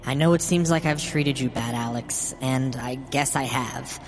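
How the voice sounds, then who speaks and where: A man voicing a bitter, grieving old mother in a horror story, wounded and resentful, confessing haltingly. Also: mid-range voice, a little hiss or hum, pitched above the performer's own voice, close to an everyday voice. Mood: bitter, resigned. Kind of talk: dramatic horror story reading. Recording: long hall reverb. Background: music.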